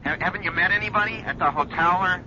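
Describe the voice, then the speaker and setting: An actor playing a shy, tentative suitor with a halting manner, heard through a telephone in a radio drama. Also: male voice, sits high. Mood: tentative, concerned. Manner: halting, hesitant. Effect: telephone filter. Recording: quiet tinny phone line, noisy broadcast.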